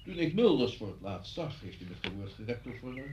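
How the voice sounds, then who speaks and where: An actor playing a hesitant older man in a Dutch radio play, with a husky, rough voice and halting delivery. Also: medium pitch, sing-song, very noisy, natural voice. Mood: hospitable, probing. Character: hesitant older man, former acquaintance hosting a policeman. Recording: dull lo-fi radio play recording.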